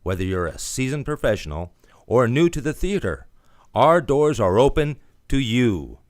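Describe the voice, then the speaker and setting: A man's voice: medium pitch, big pitch swings, moderate pace, no echo, studio quality. A man reading a scripted promotional announcement for a community theater, in the role of an announcer.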